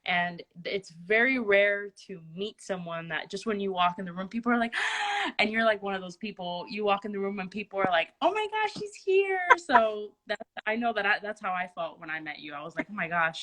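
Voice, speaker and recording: Woman host from Miami, native Spanish speaker, animated, bright clear voice. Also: medium pitch, a little fast, few pauses. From Miami, Cuban family. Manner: animated, laughs easily, chatty. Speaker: interview host. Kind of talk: casual interview chat.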